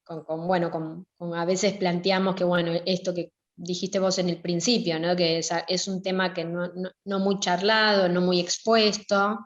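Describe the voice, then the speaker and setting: Argentine woman, low voice, echoey room. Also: little pitch movement, normal speed, hardly any pauses. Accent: Argentine Spanish. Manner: reflective, searching for words. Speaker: interview guest, photographer. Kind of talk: conversational interview. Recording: echoey, dull lo-fi sound.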